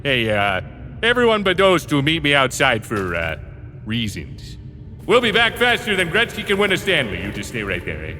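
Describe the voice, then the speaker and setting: A man's voice: on the high side, wildly swooping pitch, normal speed, bright, forceful tone, a little hiss or hum, heavily acted. A man voicing a big, fake-friendly Canadian hunter with a folksy, chummy manner and a Canadian accent in an anime parody. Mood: sly, chummy. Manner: folksy, chummy, tagging "eh" and "don't you know". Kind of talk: comedic anime parody voice acting.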